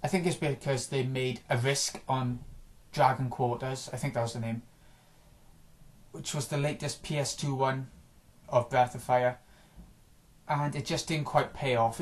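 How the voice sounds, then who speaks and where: Rambling, slightly hoarse man. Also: mid-range voice. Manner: rambling, offhand, frequent restarts and small chuckles. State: slightly hoarse from a day of recording. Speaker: gaming vlogger. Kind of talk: casual rambling video-game chat.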